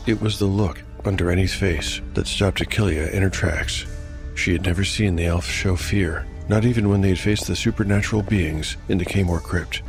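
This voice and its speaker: Very deep-voiced man narrating dark fantasy. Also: gravelly. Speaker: narrator and game master.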